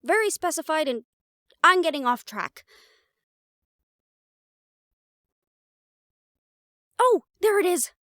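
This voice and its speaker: A woman voicing a playful, chatty half-siren, half-banshee in an intimate audio roleplay, talking casually and haltingly to a listener. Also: very high voice, expressive, fairly bright tone, dry recording, clean studio sound. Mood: playful, distracted.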